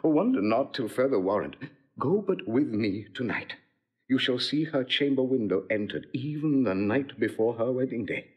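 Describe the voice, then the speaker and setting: An actor playing a cold, scheming nobleman, measured and insinuating, in classical Shakespearean style. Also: mid-range voice, expressive, husky, highs cut off. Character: cold scheming nobleman villain, slandering brother. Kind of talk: classical Shakespearean audio drama acting.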